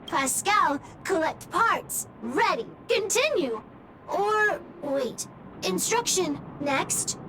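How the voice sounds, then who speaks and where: Tiny loyal robot, extremely high voice, swooping pitch, halting clipped phrases, video game character. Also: female voice, lively intonation, bright, forceful tone, slight background noise, exaggerated voice, poor audio. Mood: eager, inviting. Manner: halting, clipped one- and two-word phrases. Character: small, simple-minded, loyal little repair robot.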